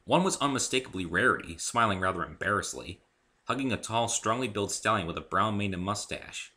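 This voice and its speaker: Bright, expressive man reading a story. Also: on the low side, a little fast. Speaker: amateur reader of own fan fiction. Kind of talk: dramatic story narration.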